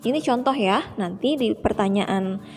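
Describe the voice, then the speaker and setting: A young woman teaching English to primary school children, slowly and carefully repeating each example sentence. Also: mid-range voice, darkish tone. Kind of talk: teaching English in a video lesson. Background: background music.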